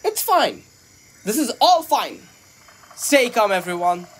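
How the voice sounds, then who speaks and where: Slow, pompous starship captain. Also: male voice, high-pitched, very animated, breathy edge, exaggerated voice. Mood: flustered bravado. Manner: pompous, grandiose, declamatory. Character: pompous, self-important, overconfident male starship captain. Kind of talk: sci-fi comedy audio drama acting.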